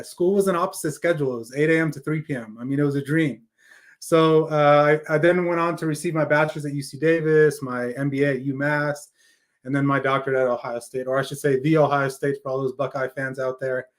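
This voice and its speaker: A man's voice: a little high, fairly even intonation, fairly quick. A man with an American accent, speaking as an interview guest and telling his personal story conversationally. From raised in California, born in Afghanistan.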